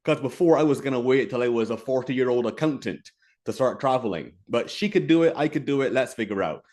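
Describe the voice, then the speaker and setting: A man's voice: medium pitch, animated, a little fast, darkish tone. A man in his thirties from Barbados, giving a friendly, carefully paced online keynote presentation. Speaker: keynote speaker.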